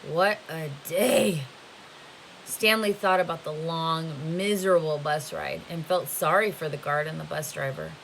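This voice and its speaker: Female read-aloud narrator, teacher-like: slightly low pitch, forceful bright tone, expressive storytelling, light hiss. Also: moderate pace, hardly any pauses, low-quality recording. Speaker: teacher reading aloud to students. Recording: faint hiss behind the voice. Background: faint steady hiss.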